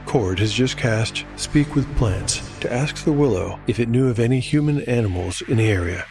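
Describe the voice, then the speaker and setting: A man narrating a scripted audio drama as game master, with a measured storyteller's delivery. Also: mid-range voice, expressive, darkish tone. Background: music.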